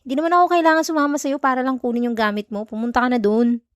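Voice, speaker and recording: A young Filipino student girlfriend, acted by a woman in an intimate audio roleplay. Her voice is high and recorded very dry, with no room around it. She talks in a sulky, defensive way, like someone jealous and easily irritated. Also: rapid-fire, smooth, dry recording, natural voice. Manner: defensive, clipped, teasing Taglish.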